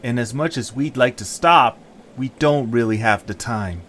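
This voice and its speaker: A man voicing an eager, excitable young hero in an audio drama, earnest and full of exclamations. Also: medium pitch, normal speed, a little hiss or hum, close to an everyday voice.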